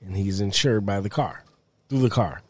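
A man co-hosting a podcast who tells stories in animated, quick bursts during casual banter. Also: lowish voice, breathy edge, no room sound. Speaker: podcast co-host.

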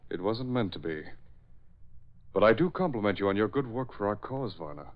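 An actor playing a stern, cold Nazi spymaster in a 1940s radio drama. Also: male voice, on the low side, animated, a little fast, lightly acted. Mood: cold menace. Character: stern, menacing male Nazi spymaster, smitten yet cold. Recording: muffled vintage radio drama recording.

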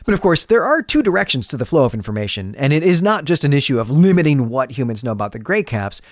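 A man's voice: medium pitch, sing-song, fast talker, no room sound, clean studio sound. A man hosting a book discussion podcast, explaining in a conversational, semi-scripted way with emphatic phrasing. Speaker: podcast host and historian.

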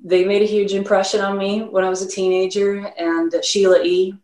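A woman's voice: mid-range voice, little pitch movement, fairly quick, darkish tone, echoey room, rough recording. A woman who grew up in Sweden, with a slight Swedish accent, talking casually as a musician guest in an interview and rambling with many fillers.

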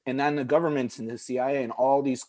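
A man's voice: mid-range voice, steady tone, fast talker, a little mellow, no echo. A man in his forties, born in Egypt and raised speaking Arabic first, talks earnestly as a podcast guest. He often breaks off and restarts his sentences while explaining his views. His voice is recorded dry and close, with no room sound. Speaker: guest, juice bar founder. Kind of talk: podcast conversation.

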